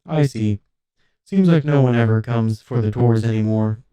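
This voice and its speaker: A man voicing a courteous, lonely old robot butler and museum guide in an audiobook story, with smooth, formal, apologetic politeness. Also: medium pitch, expressive, a little fast, mellow, dry recording, close to an everyday voice, low-quality recording. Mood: wistful, sad.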